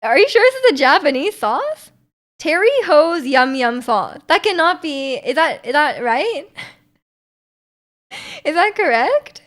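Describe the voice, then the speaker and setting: Chatty young woman streamer, fairly high voice. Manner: rambling, many fillers and restarts, frequent laughter. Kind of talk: casual chat reading viewer comments.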